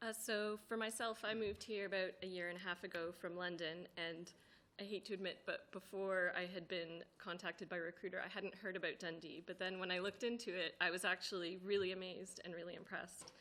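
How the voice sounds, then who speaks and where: A woman's voice: on the low side, little pitch movement, a little fast, fairly bright tone. A woman speaking to a committee hearing, listing things in an upbeat, enthusiastic way. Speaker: committee witness. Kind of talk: formal testimony.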